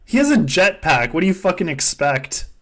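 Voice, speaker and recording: A man's voice: on the high side, sing-song, normal speed, a bit bright. A man hosting a podcast game in casual, joking chat, swearing freely as he goes. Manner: sweary, jokey. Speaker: podcast host running a fan survivor game.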